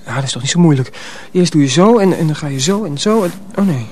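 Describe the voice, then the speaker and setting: An actor playing a naive, earnest teenage apprentice in a Dutch radio drama, with an eager, youthful manner. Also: male voice, on the high side, expressive, fast talker, a little mellow, a little hiss or hum, natural voice. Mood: boyish, confident.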